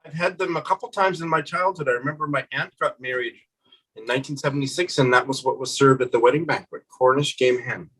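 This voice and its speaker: A middle-aged man with a husky, slightly breathy voice at an ordinary pitch for a man. He talks about books in a thoughtful, unhurried way, like a relaxed co-host. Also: low-quality recording. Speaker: booktuber co-host. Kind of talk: casual book chat.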